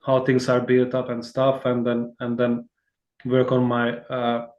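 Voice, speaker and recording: A man's voice: mid-range voice, completely flat delivery, unhurried, a little mellow, reverberant, lo-fi sound. A man from Hungary, speaking, talks in a rambling, friendly way as a guitarist guest in a casual interview. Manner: rambling and friendly, many fillers and restarts, laughs easily.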